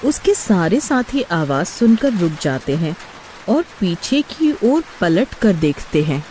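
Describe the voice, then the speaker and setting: A woman's voice: on the low side, sing-song, slow pace, a little mellow, a little hiss or hum, low-quality recording. A woman narrating a scripted horror story for children.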